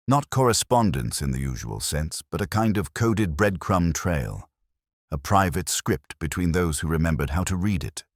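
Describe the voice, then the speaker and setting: A man narrating a scripted first-person detective story in a measured, reflective, literary manner. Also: low-pitched, no echo, studio quality.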